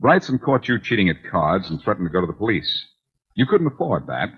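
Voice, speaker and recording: An actor playing a smooth, cocky detective in 1940s radio style, with wry, taunting, self-assured delivery. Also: male voice, low-pitched, sing-song, fairly quick, full character voice. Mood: confident. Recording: dull, lo-fi 1940s radio recording.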